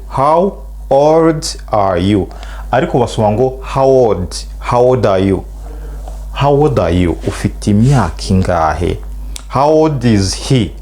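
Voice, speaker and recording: A middle-aged Rwandan-accented man, a native Kinyarwanda speaker, teaching spoken English at a middling pitch. He draws out each model phrase slowly for learners to repeat, with a faint hum behind him. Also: a little mellow.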